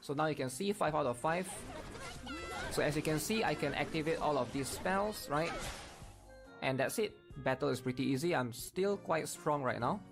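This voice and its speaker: A man's voice: on the high side, lively intonation, moderate pace, creaky, music in the background. A man giving casual, explanatory gameplay commentary, often checking in with his viewers. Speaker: gaming video creator.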